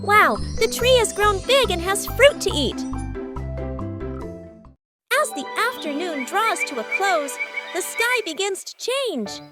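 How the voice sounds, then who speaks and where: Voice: very high voice, very animated, takes it slowly, pauses often. A narrator of a children's cartoon, telling a simple story in short storybook sentences. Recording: music playing behind the voice. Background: children's music.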